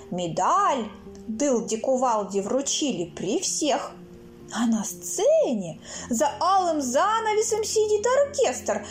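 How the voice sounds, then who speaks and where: A woman reading her own children's stories aloud in an expressive, theatrical way, acting out sounds and characters. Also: sits high. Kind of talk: expressive children's storybook narration. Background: music.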